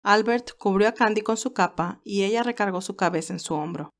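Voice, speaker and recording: A woman narrates a scripted romantic story. Her voice sits at a medium pitch, and she reads as the storyteller between the characters' dialogue. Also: fairly quick.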